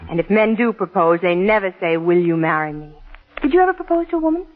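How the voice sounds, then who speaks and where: A possessive young wife played by an actress in an old radio drama. Her voice swings widely in pitch, sweet one moment and sharp the next. The sound is tinny and narrow, like a 1940s broadcast. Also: lively intonation, fast talker, slight background noise, lightly acted. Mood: teasing.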